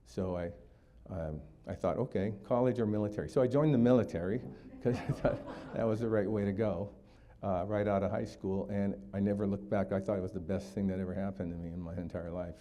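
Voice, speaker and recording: A man's voice: low voice, fairly even intonation, a little fast, mellow. A man in his sixties from Hawaii, giving a community talk for a district attorney's office, explaining steadily with frequent fillers.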